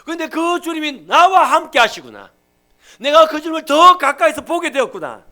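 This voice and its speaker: A male pastor with a Gyeongsang accent preaching a Sunday sermon, emphatic and swinging from loud to hushed. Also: very high voice, animated, moderate pace.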